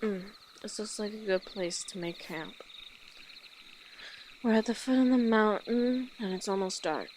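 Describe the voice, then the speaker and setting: A woman playing a small, wry orc traveler in an immersive audio roleplay, gruff but caring, with a dry, hesitant, matter-of-fact manner. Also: mid-range voice, measured pace, long pauses, a little mellow, natural voice. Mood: matter-of-fact, friendly. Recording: clear outdoor nature ambience noise.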